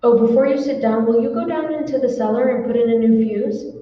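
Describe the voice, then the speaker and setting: A woman's voice: on the high side, fairly even intonation, a little fast, close to an everyday voice. A woman playing a practical, puzzled housewife in an old-time radio drama. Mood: brisk, domestic. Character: practical, puzzled housewife humoring her worried husband. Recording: echoey hall, long reverb, distant, dull.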